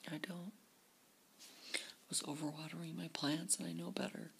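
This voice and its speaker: A woman whispering into her camera for a personal video diary, halting and repeating her words. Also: sits low, little pitch movement, vocal fry, slight background noise. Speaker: vlogger. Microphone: camera facing her.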